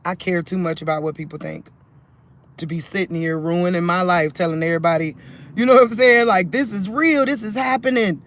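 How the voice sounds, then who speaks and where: High-voiced man rambling to his phone. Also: fairly quick, a bit bright. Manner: conversational, restarts sentences, many fillers. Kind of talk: rambling religious vlog to camera.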